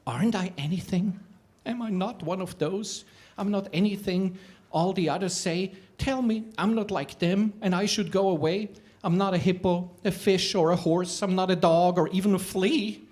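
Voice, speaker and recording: A man's voice: moderate pace, darkish tone, lightly acted. A man voicing a small nameless creature that is lost and keeps asking who it is. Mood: tearful. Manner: pleading, questioning, high and sing-song. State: sobbing at times.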